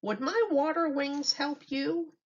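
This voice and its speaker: A woman's voice: high-pitched, takes it slowly, pitched above the performer's own voice. A woman voicing a helpful, confiding, quacking duck in a children's storybook. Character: duck afraid of deep water, helpful, confiding. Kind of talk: children's storybook read-aloud. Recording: dull lo-fi recording.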